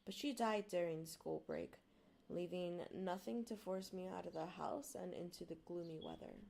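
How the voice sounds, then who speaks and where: A woman's voice: on the low side, normal speed, gravelly, darkish tone, slight background noise. A woman who is not a native English speaker, chatting apologetically on a stream and reading a book aloud. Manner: apologetic, rambling, self-deprecating. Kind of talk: casual stream chat and read-aloud narration.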